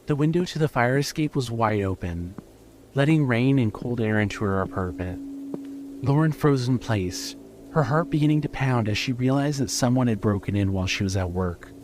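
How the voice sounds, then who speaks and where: A man's voice: mid-range voice, expressive, moderate pace. A man narrating a scripted fiction story in the third person. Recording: clearly noisy background.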